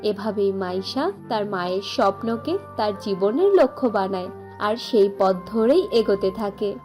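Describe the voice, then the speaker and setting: A woman's voice: medium pitch, lively intonation, unhurried, dark tone, faint music behind. A woman reading scripted fairy-tale narration as the storyteller.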